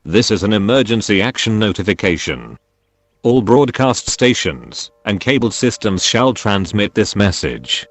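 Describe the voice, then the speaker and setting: A man's voice: fairly low pitch, very animated, a little slow, a little hiss or hum. Synthetic male emergency announcer with swinging intonation. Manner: formal, repetitive announcing. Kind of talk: scripted emergency broadcast announcement.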